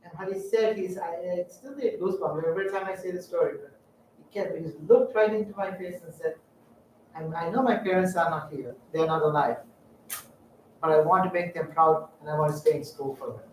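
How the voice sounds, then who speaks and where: A middle-aged man from Sri Lanka telling personal stories in a public talk, halting and reflective with frequent fillers. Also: high-pitched, slight background noise. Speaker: guest speaker. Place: lecture room. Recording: echoey room, distant mic.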